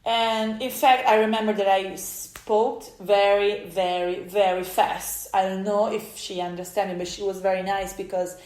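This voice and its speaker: An Italian woman with an Italian accent, speaking English as a second language, tells a personal story in a halting, emotional way with many restarts. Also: medium pitch, fairly even intonation, darkish tone. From Italy. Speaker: vlogger. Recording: echoey room.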